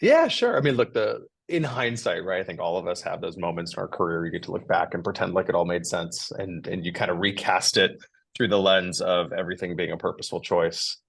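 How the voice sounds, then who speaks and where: Low gravelly-voiced American man. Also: a little fast. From United States. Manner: relaxed, chatty, many fillers. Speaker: guest. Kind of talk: casual podcast interview.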